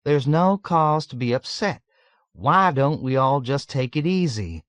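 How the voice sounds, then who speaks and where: A man's voice: on the high side, expressive, slow, darkish tone, no echo, voice pushed up. A man voicing an affable, placating Texan man in an audiobook, friendly but uncertain. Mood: soothing.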